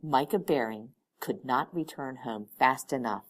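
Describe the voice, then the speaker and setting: A woman reading an audiobook story aloud in expressive scripted narration. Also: very deep voice, measured pace. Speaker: audiobook reader.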